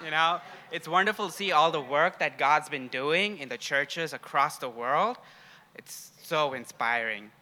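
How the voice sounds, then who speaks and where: A man's voice: on the high side, animated, unhurried, sharp tone, a little hiss or hum. A young man from Sri Lanka and India speaking to a congregation in an informal, conversational sermon style, sharing personal stories. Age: young adult. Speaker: guest preacher, grad student.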